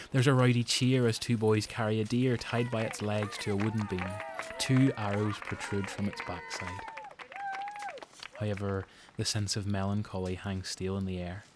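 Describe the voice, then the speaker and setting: A man's voice: lowish voice, a little hiss or hum. A man with a Northern Irish accent giving a scripted, dramatic narration for an audio drama. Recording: prominent music behind narration.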